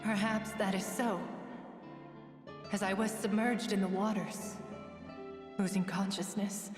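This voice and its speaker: A woman voicing a gentle, lovelorn water spirit in a video game, wistful and formal, with a ghostly reverb on her voice. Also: mid-range voice, steady tone, unhurried. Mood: grieving, resigned. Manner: wistful, formal, lingering phrasing. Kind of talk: dramatic video game voice acting. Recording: long reverb, distant, echoing. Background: music.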